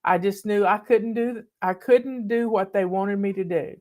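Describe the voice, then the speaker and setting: A woman's voice: mid-range voice, moderate pace, darkish tone. Older Southern woman, host of her own channel; folksy, chatty and a bit bossy, in a casual storytelling voice. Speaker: online host. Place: home in the mountains.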